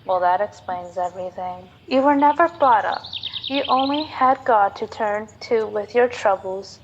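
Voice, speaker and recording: A woman's voice: mid-range voice, normal speed, highs cut off, noisy background, natural voice. A young woman playing a minister's trusting daughter in an amateur radio drama, earnest and plain-spoken. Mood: gentle, candid. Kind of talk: student radio drama acting.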